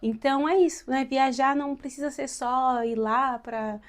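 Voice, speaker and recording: Chatty São Paulo woman, higher voice. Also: fairly even intonation, normal speed. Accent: São Paulo Brazilian Portuguese. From São Paulo, Brazil. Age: late 20s. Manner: chatty and encouraging, addresses viewers directly. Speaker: vlogger and Portuguese teacher. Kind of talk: casual advice vlog.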